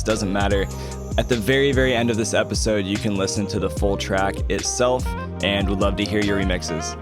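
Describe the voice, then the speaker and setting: A low-pitched American man hosts a podcast, with music playing behind his voice. He talks in a loose, chummy manner, using casual slang as he chats. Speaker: podcast host.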